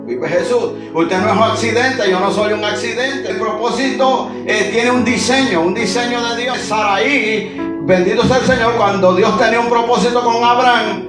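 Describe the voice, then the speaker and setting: Pastor from Honduras, male, very high voice, heard from a distance in a booming hall, peppering his preaching with praise. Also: normal speed. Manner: preaching with frequent interjections of praise. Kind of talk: Pentecostal sermon. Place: church sanctuary. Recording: echoey hall recording from far off, music behind.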